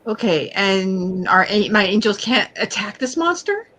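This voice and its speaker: A woman's voice: mid-range voice, big pitch swings, a little slow, fairly bright tone, poor audio. A woman playing a tabletop roleplaying game, talking in a hesitant, questioning way.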